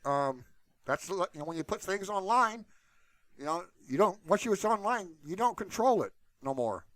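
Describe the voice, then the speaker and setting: Male channel host, slightly higher register, loose informal rambling, very dry close sound. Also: very animated, moderate pace, fairly bright tone, no echo. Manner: informal and unscripted, repeatedly saying "you know". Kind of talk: casual, rambling solo talk to viewers.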